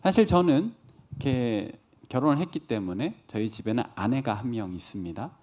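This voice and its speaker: A man's voice: medium pitch, lively intonation, brisk, a little mellow. A man in his thirties preaching a conversational sermon as a pastor, posing questions and answering them himself. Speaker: pastor preaching to young adults.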